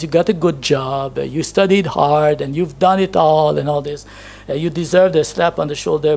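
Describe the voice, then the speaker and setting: Gravelly-voiced man preaching at a pulpit. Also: a little high, darkish tone. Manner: storytelling, chatty, laughs at himself. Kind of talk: anecdotal sermon. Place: church hall with projection screen. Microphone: handheld microphone.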